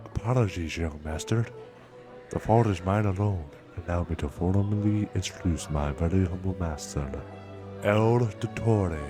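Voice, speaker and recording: A man's voice: low-pitched, close to an everyday voice. A man playing an obsequious, scheming underling in a dramatic fan dub, formal and deferential in manner. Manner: servile, formal, smooth-talking.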